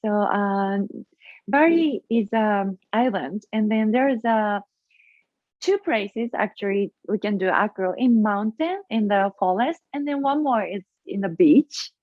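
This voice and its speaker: A woman with a Japanese accent, a guest in a casual interview, speaking haltingly and searching for her English words. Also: mid-range voice, no echo. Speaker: guest, acro yogi. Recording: tinny phone-like line.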